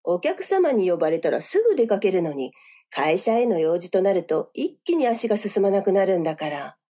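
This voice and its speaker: A woman's voice: mid-range voice, sing-song, normal speed, dark tone, breathy edge. An actress playing a cool, teasing, self-assured senior businesswoman in a voice drama. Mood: composed, teasing. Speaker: voice actor. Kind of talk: voice drama dialogue. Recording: music behind the voice.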